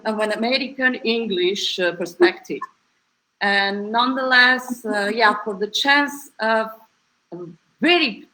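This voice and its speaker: A woman's voice: mid-range voice, pushed and bright, poor audio. A woman with a Romanian accent, a native Romanian speaker, talking hesitantly in English at a panel discussion, with many fillers and restarts.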